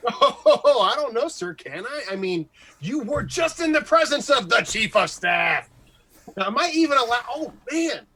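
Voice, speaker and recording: A man playing an excitable, jealous, insecure morning radio host in a radio comedy-drama, stammering, gushing and evasive. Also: extremely high pitch, unhurried, husky, full character voice. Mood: mock awe.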